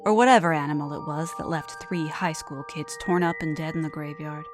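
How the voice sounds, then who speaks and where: Low-voiced woman narrating a horror story. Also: fairly quick. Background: background music.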